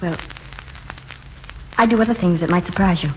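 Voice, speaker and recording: An actress playing a wistful, dreamy young waitress in a 1940s radio drama, speaking in a plain, earnest way. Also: female voice, on the low side, lightly acted. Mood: shy, hopeful. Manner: plainspoken and earnest, slower when reciting verse. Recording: muffled, noisy 1940s radio broadcast.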